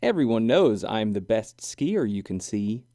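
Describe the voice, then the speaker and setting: A man's voice: lowish voice, sing-song, unhurried, dark tone, no echo, clean studio sound. A man voicing boastful young animal ski racers in a storybook, bragging and taunting. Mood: cocky, taunting.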